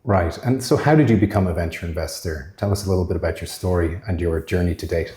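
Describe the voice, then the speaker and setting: A man hosting a podcast interview, asking questions in a measured, friendly way. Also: low voice, mellow, slightly rough. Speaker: podcast host.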